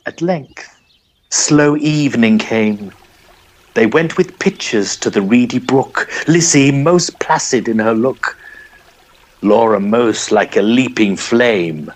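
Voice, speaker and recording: A man delivers dramatic verse as a storyteller. His pace is slow and measured, while his mid-range voice moves expressively up and down through the lines. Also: mid-range voice, animated. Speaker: narrator. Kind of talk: dramatic narration of a poem.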